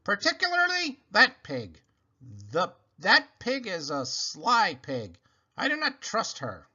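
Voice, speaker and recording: A man's voice: high voice, huge pitch swings, takes it slowly, sharp tone, breathy edge, highs cut off, voice pushed up, exaggerated voice. A man voicing a stern, pompous lady neighbor in a children's storybook, emphatic, indignant and formal. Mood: disapproving.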